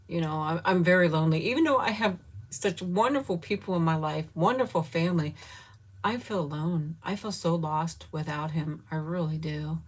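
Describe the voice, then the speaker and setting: A woman in her fifties chatting candidly with viewers, grieving and close to tears, rambling with soft laughs and trailing pauses. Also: fairly low pitch. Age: 50s. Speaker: vlogger chatting with live viewers. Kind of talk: casual live chat.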